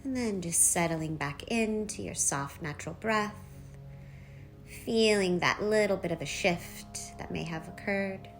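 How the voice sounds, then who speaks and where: A woman leading a guided meditation, giving soft instructions in short phrases with long pauses between them. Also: fairly low pitch. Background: background music.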